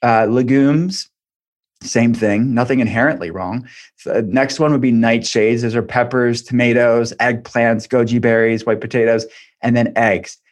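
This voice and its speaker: A man's voice: mid-range voice, steady tone, a little slow. A man speaking as an expert guest in an interview, explaining point by point and repeating phrases for emphasis. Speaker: guest expert, functional medicine practitioner.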